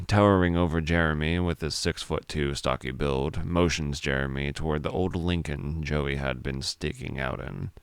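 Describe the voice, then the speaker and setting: An extremely low-voiced man narrates a dramatic story. His voice is smooth and resonant, recorded close and dry. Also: no echo.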